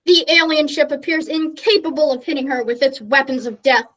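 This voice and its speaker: Woman playing a high-voiced, flustered radio reporter. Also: steady tone, fairly bright tone, muffled recording, faint music behind. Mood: breathless awe. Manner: halting, polite, dramatic. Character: flustered, earnest, melodramatic radio news reporter. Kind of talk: comic radio play news bulletin.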